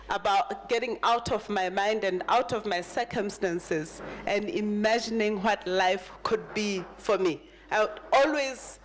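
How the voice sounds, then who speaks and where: Woman, thirties, keynote speaker, motivational storytelling, emphatic repetition, mid pitch. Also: a little hiss or hum. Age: 30s. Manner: emphatic, repeats phrases for effect. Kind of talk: motivational personal story talk.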